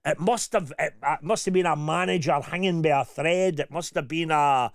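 Chatty Scottish radio host, smooth voice. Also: male voice, a little high, moderate pace, no room sound, studio quality. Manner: chatty, jokey, many fillers. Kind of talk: casual radio chat, reading listener messages. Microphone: studio mic.

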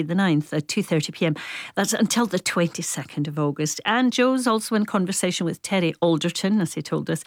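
A woman presenter with a lowish voice, talking in a measured, conversational way. The sound is dry and close to the mic. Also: dry recording. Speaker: radio presenter. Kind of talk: interviewing and presenting.

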